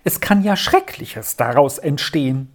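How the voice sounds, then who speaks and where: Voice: medium pitch, sing-song, measured pace, vocal fry, darkish tone, voice pushed up, exaggerated voice. A storybook narrator voicing an anxious, fretful middle-aged aunt who laments and frets over every danger. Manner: lamenting, wailing complaints.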